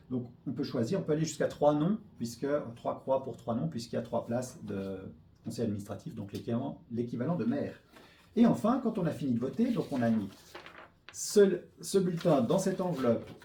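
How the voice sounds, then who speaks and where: A man talks through a practical tutorial in a patient, plain way, now and then pausing to find a word. His tone is mellow and somewhat dark. The sound carries a noticeable room echo over a faint background hiss. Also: mid-range voice. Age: 40s. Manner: plain, patient explaining with occasional hesitations. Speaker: local politician giving a voting tutorial. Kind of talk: step-by-step explanatory tutorial. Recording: echoey room with faint noise.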